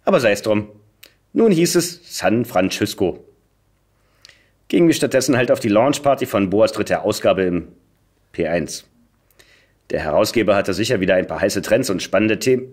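A man with a slightly low voice reads a comic story aloud. His pitch moves around a lot, giving the narration an animated shape, while the delivery stays dry and wry. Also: normal speed, long pauses. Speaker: podcast guest, voice actor. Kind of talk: expressive reading aloud of a satirical first-person story.

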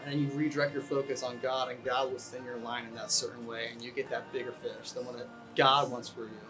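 A man's voice: medium pitch, fast. Young man talking casually over guitar. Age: young adult. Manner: relaxed, many fillers, gets excited. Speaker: interviewee. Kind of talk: casual interview talk. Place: outdoor balcony. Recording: guitar music underneath.

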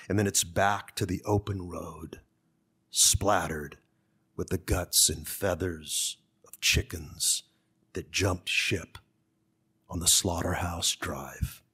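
A man in his sixties from California's Central Valley, an author speaking and reading to the public with measured, deliberate phrasing. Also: sits low, pushed and bright, slightly rough. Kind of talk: public talk and literary reading. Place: conference room. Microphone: gooseneck podium microphone.

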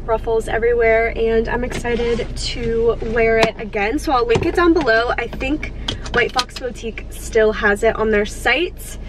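A young woman in her twenties chatting to camera from a parked car, sounding congested from a cold and gushing with excitement. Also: a little high, measured pace, noisy background. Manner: gushing, upbeat, lots of "like" and "so excited". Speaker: lifestyle vlogger. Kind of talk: casual chat to camera. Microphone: camera on the dashboard.

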